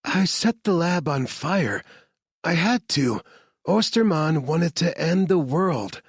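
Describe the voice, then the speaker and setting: A man's voice: on the high side, expressive, slow pace, slightly rough, no echo, pitched above the performer's own voice, exaggerated voice. A man voicing an injured, stubborn woman scientist who insists on going into danger, speaking urgently in a raised voice.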